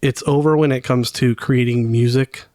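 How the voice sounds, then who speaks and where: Male co-host for a fan podcast. Medium pitch, conversational and speculative, thoughts left hanging, very dry recording. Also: steady tone, moderate pace, a little mellow, dry recording. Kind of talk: casual fan podcast chat.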